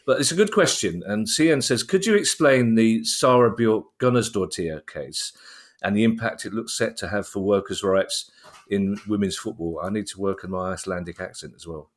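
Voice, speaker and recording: Wry, low-voiced man chatting. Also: normal speed. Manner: wry, wordy, self-deprecating. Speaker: podcast host. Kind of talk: casual chat.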